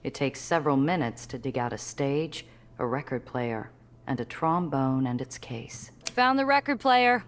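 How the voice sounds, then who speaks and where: Very low-voiced wry woman. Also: lively intonation. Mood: blunt, practical. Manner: measured, literary narration with blunt casual asides. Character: tough, wry woman narrating her own noir story. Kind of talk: scripted first-person game narration.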